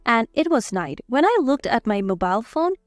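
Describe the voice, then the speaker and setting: A woman's voice: fairly high pitch, very animated, normal speed, dry recording, clean studio sound. A woman reading a scripted, dramatized first-person story as its narrator. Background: background music.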